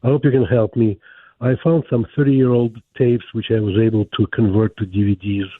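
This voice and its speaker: A man's voice: fairly low pitch, fairly even intonation, brisk, no echo. A man with a Ukrainian accent, calling in to a talk show, eager and polite. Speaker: caller. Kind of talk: call-in conversation. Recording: tinny phone line.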